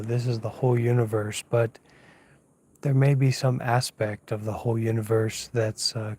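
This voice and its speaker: Slow, husky man guiding a meditation. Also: mid-range voice. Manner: hushed, unhurried, pausing often, gently instructive. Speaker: Buddhist monk, meditation teacher. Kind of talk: unscripted guided meditation. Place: outdoors at night, lying down under a mosquito net.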